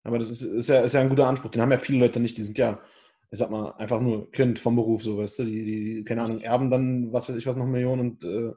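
A man's voice: medium pitch, level tone, fast, dark tone. A man hosting a casual interview, asking loose, chatty questions. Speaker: podcast host.

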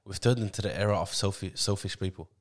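A man's voice: sits low, fast talker. A man giving opinions bluntly and colloquially in a casual podcast chat. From Australia, Lebanese background. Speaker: podcast co-host.

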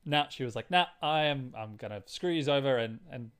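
Informal man chatting, mid-pitched voice. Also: brisk, fairly bright tone, clean tone. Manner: informal, loosely structured, thinking aloud. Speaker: podcast co-host. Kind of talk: casual movie review chat.

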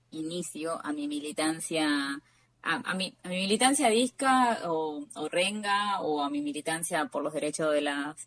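An Argentine-accented woman speaks casually as an interview guest. She is reflective and halting, circling back over words, in a lowish voice recorded very dry. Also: a bit bright, no room sound. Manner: halting, repeats words while searching.

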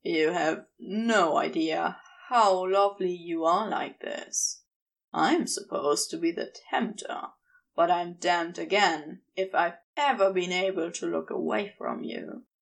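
A woman's voice: lowish voice, slow, vocal fry, pitched below the performer's own voice, rough recording. A woman voicing an adoring, teasing male demon tempter in a story, with a seductive drawl.

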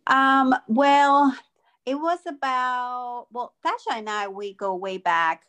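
A woman's voice: on the high side, slow, sharp tone. A woman from Costa Rica, calling in on a video call and giving brief, friendly answers. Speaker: caller. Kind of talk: casual chat.

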